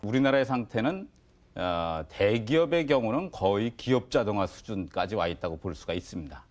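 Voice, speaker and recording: Male expert interviewee, lower register, explains things in an interview setting. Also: lively intonation, moderate pace, soft background music.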